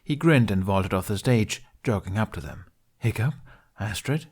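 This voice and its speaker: A man's voice: on the low side, expressive, moderate pace. A man reading a fantasy story aloud as scripted narration. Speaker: podfic narrator.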